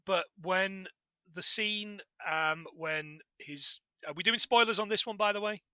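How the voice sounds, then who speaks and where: Give me a man with a high, bright voice, chatting on a podcast with lots of restarts. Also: dry recording. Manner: self-deprecating, halting with many restarts. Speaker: guest host. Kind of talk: casual podcast chat.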